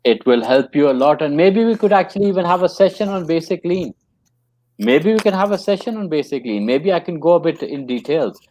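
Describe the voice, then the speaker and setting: A man's voice: on the high side, animated, brisk, darkish tone, no room sound, rough recording. A man working as a trainer, telling a story in a casual conversation. He speaks earnestly and often repeats his phrases. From Pakistan. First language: English.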